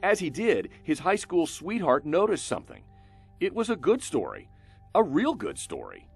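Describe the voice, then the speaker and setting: An American male reporter tells a human-interest story in scripted, dramatic fashion. His pitch moves in lively sweeps through short, emphatic phrases and pauses. Music plays softly under his voice. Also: gravelly, breathy edge. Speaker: news reporter narrating a feature story.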